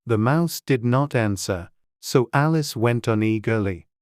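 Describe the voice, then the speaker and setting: A woman's voice: extremely low pitch, lively intonation, unhurried, darkish tone, dry recording, clean studio sound. A woman reading scripted storybook narration as an audiobook narrator.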